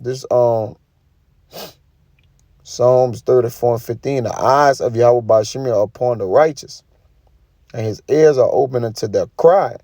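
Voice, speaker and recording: A man's voice: medium pitch, measured pace, pauses often, dark tone, no echo, studio quality. A man giving an informal sermon, reading scripture and commenting in an emphatic, colloquial way with frequent tag questions. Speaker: preacher.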